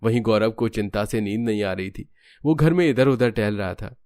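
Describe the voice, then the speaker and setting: A man's voice: fairly low pitch, expressive, normal speed, darkish tone. A man reading scripted narration for a cartoon family story.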